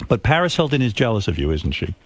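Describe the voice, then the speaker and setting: A man's voice: mid-range voice, big pitch swings, fast talker. A middle-aged man hosting a radio interview, asking blunt, teasing, probing questions. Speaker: radio show host.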